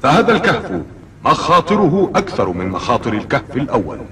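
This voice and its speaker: A man playing an animated, fatherly cartoon adventurer. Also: medium pitch, fast, crowd noise, natural voice. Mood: instructive. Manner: instructive, fatherly, theatrical. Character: middle-aged uncle, kindly adventurous guide to a boy. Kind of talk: dubbed cartoon acting in formal Arabic. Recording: distant, noisy old cartoon soundtrack.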